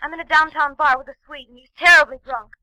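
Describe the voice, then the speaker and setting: A young circus aerialist in a 1940s radio drama. Her high, bright voice is polite but pleading and exasperated, recorded close and dry. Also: steady tone, quick pace, dry recording, low-quality recording. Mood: urgent, pleading. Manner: polite, imploring. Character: young female trapeze performer, pleading and exasperated.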